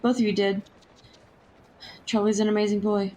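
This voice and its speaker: An actress playing a plucky, streetwise young Victorian match girl in a historical audio drama, brisk, slangy and teasing. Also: mid-range voice, flat delivery, mellow, a little hiss or hum, close to an everyday voice. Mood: friendly, matter-of-fact.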